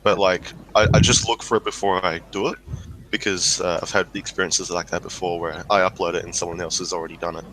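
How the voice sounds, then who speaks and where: A man's voice: low voice, little pitch movement, quick pace, a bit bright, slight background noise, rough recording. A man hosting a casual livestream chat, rambling with lots of fillers and restarts. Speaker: stream host.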